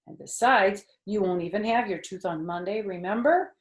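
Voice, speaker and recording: A woman's voice: medium pitch, moderate pace, roomy sound, close to an everyday voice. A woman doing a firm, stern mom. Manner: firm, disapproving. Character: stern sensible mother of a little girl.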